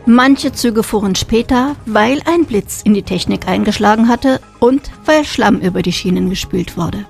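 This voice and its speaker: A woman's voice: medium pitch, moderate pace, creaky, darkish tone, faint music behind. A woman presenting radio news in a studio and interviewing a new volunteer in a friendly way.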